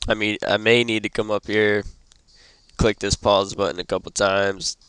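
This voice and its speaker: A young man who is sick and losing his voice, hosting a casual computer tutorial and stopping often with ums and throat clearing. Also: lowish voice, flat delivery, moderate pace, a bit bright, no echo. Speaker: tutorial host. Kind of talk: casual screen-recorded tutorial.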